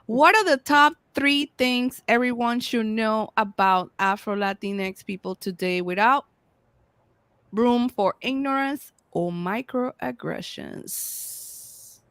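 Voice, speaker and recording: Slow, bright-voiced woman moderator. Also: a little high, clear, resonant tone, dry recording. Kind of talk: moderating a panel.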